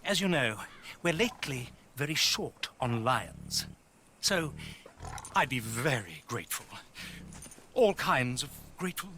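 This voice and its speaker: A man's voice: mid-range voice, huge pitch swings, measured pace, long pauses, bright, forceful tone, slight background noise, full character voice. A man voicing a flamboyant British circus showman in a video game, with grandiloquent, theatrical, plummy delivery. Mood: exasperated. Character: flamboyant male circus showman calling himself Margaret, theatrical con artist. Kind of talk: video game cutscene acting.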